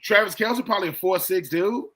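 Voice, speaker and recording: A man's voice: high-pitched, a little fast, bright, forceful tone. A middle-aged man, a former football player, talking sports in a casual, colloquial way, repeating himself and acting out quoted exchanges. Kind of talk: casual, animated sports storytelling.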